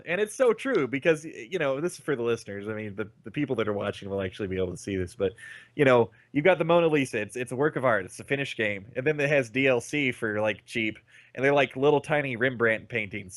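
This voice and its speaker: A man's voice: medium pitch, lively intonation, fast, no echo. Stuffy-nosed man, rambling chat. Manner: rambling, frequent fillers and restarts. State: stuffy nose. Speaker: podcast co-host. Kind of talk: casual gaming chat.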